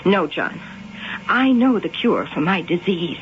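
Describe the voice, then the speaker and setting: A woman acting in a radio drama as an intense, imperious and mysterious figure who claims to be a cat goddess, speaking solemnly and deliberately. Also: lowish voice, very animated, a little hiss or hum. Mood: urgent, persuasive. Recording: dull lo-fi 1980 radio broadcast.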